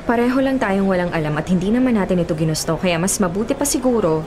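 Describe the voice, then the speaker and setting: A woman's voice: medium pitch, animated, fast talker, crowd noise, lightly acted. Snappy, bitter young Filipino woman, played by an actress. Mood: guarded. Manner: haughty, exclamatory, curt. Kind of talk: Filipino radio drama acting.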